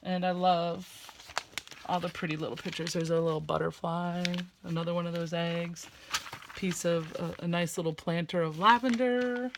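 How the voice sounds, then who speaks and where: A woman's voice: fairly low pitch, steady tone, normal speed, noisy background. Chatty woman with a slight lisp. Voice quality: loud voice that carries. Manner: chatty, rambling, with frequent fillers. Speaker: crafting vlogger. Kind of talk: casual chat while crafting.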